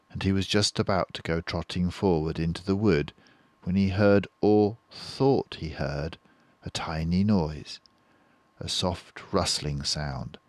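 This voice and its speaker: An older man narrating a children's story in a measured, careful and suspenseful way. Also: sits low, no room sound. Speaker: storybook reader.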